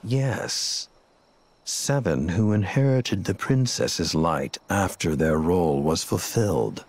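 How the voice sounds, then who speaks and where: Slow, deep, condescending villain. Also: male voice, expressive, heavily acted. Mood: smug, calm. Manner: measured, condescending, theatrical. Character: smug, coolly menacing male villain in a dark cloak. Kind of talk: scripted video game cutscene acting.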